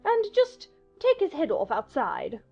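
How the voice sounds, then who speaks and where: A woman's voice: high voice, huge pitch swings, a little slow, vocal fry, a little mellow, lo-fi sound, soft background music, heavily acted. A woman playing an imperious, bossy, furious queen who shrieks orders in an audio drama. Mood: casually ruthless. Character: shrill, imperious, furious queen barking executions.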